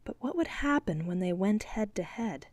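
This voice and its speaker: Steady female audiobook narrator. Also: medium pitch, moderate pace, no room sound. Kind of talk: scripted narration.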